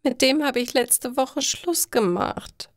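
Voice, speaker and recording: A woman voicing a plaintive, despairing pregnant teenage girl in a slow audio story for language learners. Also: sits high, dry recording, clean studio sound, voice pushed up. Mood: forlorn.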